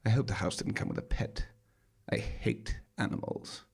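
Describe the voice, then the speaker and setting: Male monologue performer with gravelly creak, dry wit and dramatic stage storytelling. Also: fairly low pitch, normal speed. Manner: arch, droll, dramatic delivery. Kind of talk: theatrical scripted first-person storytelling. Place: small theatre stage, live performance.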